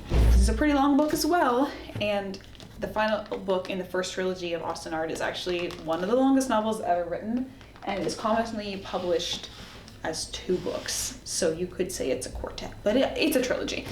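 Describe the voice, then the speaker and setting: A woman's voice: mid-range voice, expressive, moderate pace, darkish tone, faint music behind. A woman hosting a casual book review video, talking in a conversational, explanatory way. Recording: echoey room with faint background noise.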